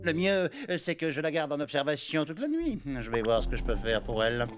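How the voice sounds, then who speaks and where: An excitable cartoon vet, obsessed and fussy. His pitch leaps up and down in very animated exclamations, with loud music playing behind him. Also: fairly quick. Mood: smug, eager. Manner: fussy, exclamatory, verbal tic tagged onto sentences. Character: excitable, obsessive male veterinarian craving a Nobel Prize. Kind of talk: cartoon voice acting.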